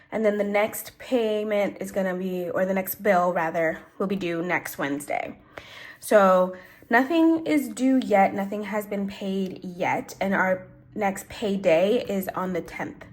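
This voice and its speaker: A congested, sniffly woman hosting a budgeting vlog, chatting casually and correcting herself as she thinks aloud. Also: medium pitch. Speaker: budgeting channel host. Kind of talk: casual cash-stuffing walkthrough. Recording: echoey room, faint noise.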